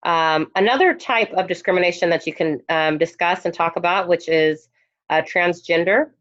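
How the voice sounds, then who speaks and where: A lowish-voiced woman lecturing an online class, her voice worn and hoarse as she talks into a headphone mic. She pauses with frequent ums between points. The recording sounds dull and lo-fi. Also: fairly bright tone. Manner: hesitant, many ums. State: losing her voice. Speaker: instructor.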